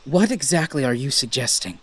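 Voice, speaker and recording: A man's voice: medium pitch, lively intonation, fairly quick, fairly bright tone, slightly rough. An actor playing a guarded, bitter young male blood mage in a fantasy audio drama. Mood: uncertain.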